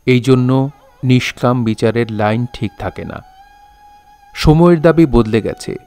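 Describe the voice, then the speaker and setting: An actor playing a dignified literary storyteller in an audio drama, speaking formally and with measured care. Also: male voice, medium pitch, darkish tone, clean tone, faint music behind, lightly acted. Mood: reflective, formal. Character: dignified storytelling landlord-poet, gently scolding his village listeners. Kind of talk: Bengali audio drama narration, literary diction.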